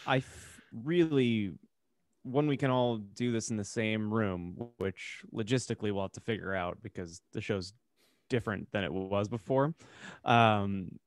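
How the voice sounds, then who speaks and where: A man's voice: fairly low pitch, a little slow, no echo, clean studio sound. A man co-hosting a podcast in a casual, teasing, conversational way. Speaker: podcast co-host. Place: indoor home room, remote video call.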